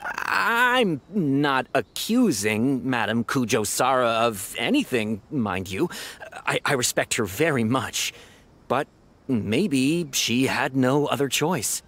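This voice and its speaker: A man's voice: medium pitch, sing-song, takes it slowly, a bit bright, heavily acted. An actor playing an opinionated younger policeman in a video game, arguing his suspicions in an insistent, emphatic way. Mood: defensive. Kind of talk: video game voice acting, dialogue.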